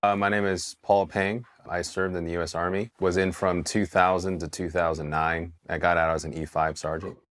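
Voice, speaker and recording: Very deep-voiced man telling candid stories. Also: moderate pace, hardly any pauses. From Taiwan, raised in California. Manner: candid, relaxed, casual with swearing and repeated restarts. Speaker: Army veteran interviewee. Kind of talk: documentary interview storytelling. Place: dark studio set, indoors. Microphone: hidden mic, seated on camera.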